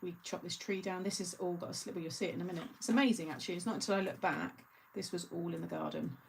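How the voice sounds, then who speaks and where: A woman's voice: lowish voice, steady tone, fast, vocal fry, a little hiss or hum. A middle-aged woman hosting a craft channel, chatting casually and rambling through asides with frequent laughter. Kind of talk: casual live chat.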